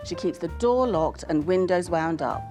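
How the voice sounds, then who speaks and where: A woman author presenting and reading fiction in a scripted style, with measured, expressive phrasing. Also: lowish voice. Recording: music bed under the voice. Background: music.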